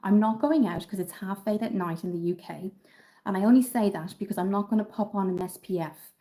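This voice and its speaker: A woman's voice: mid-range voice, a little fast, warm tone. A woman from Dublin chatting casually on a beauty livestream, upbeat and full of ums as she answers viewer comments. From Ireland, Dublin. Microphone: phone camera.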